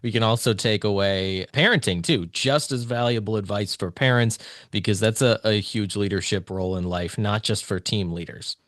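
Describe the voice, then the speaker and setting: A man's voice: on the low side, moderate pace, fairly bright tone, no echo, clean studio sound. A man hosting a casual interview, asking questions in an easygoing way. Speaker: podcast host.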